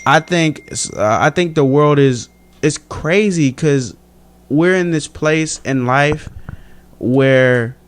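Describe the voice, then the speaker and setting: A man's voice: fairly high pitch, slow pace. A man in his late twenties talking casually as a podcast guest, earnest and encouraging, with slangy phrasing. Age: late 20s. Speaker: guest, law student.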